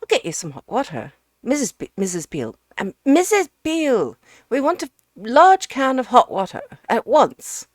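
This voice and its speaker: A woman reading aloud, voicing a hearty, bossy, bustling middle-aged Englishman who talks loud and brisk. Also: fairly low pitch, big pitch swings, slightly rough, dry recording, studio quality, voice pushed up.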